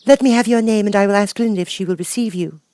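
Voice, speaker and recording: A man's voice: high-pitched, quick pace, darkish tone, no room sound, pitched above the performer's own voice, lightly acted. A man voicing a young girl guard who speaks formally and courteously.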